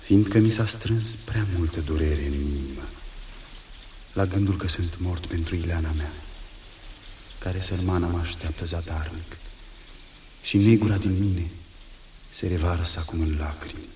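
An actor playing a sorrowful, noble young prince in love, speaking solemnly and lyrically in 1960s radio theatre. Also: male voice, low-pitched, long pauses, a little mellow, natural voice. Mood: grieving. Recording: echoey, distant, noisy 1960s radio recording.

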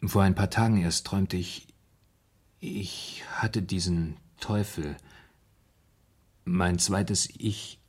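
An actor in a 1970s German radio drama playing a tormented, anxious man who haltingly confesses what haunts him, in a husky voice. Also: sits low, steady tone, exaggerated voice. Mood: haunted, hushed. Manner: halting, haunted confessional storytelling with broken phrases.

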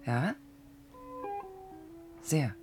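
An actress playing a proud, sharp-tongued young woman architect who probes and challenges in radio drama dialogue. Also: very slow, music in the background. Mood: probing. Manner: challenging, probing, teasing.

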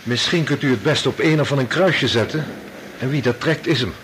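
Sober Dutch scientist played by a man, middle-pitched, polite but probing; radio drama, faint background noise. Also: fairly quick, fairly bright tone, lightly acted. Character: sober, questioning male medical researcher. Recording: slightly noisy old radio recording.

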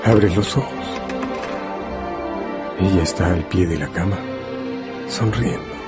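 An actor playing a grave, intimate male narrator who addresses the hero directly in a dramatic, deliberate radio-novel style. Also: deep voice, over loud music. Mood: suspenseful.

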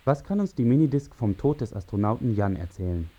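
An actor playing a brisk, questioning commander of a space crew in a radio drama. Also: mid-range voice, expressive, close to an everyday voice. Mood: challenging. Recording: dull radio sound under loud music.

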